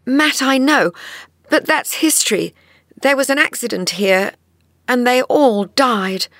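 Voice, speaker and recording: A woman playing an earnest, anxious young heroine in a dramatised audiobook, with a husky, breathy voice and expressive, deliberate delivery. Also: fairly high pitch, dry recording, studio quality. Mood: pleading.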